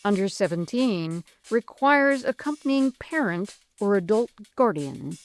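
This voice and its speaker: Slow, deliberate horror story narrator. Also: medium pitch, animated, soft background music.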